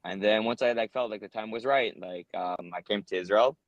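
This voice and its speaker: Young American guy, low and quick, earnest interviewee full of fillers. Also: no echo, muffled recording. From United States. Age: young adult. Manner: earnest, rambling, many ums and uhs. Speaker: interviewee, soldier. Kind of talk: casual interview answers.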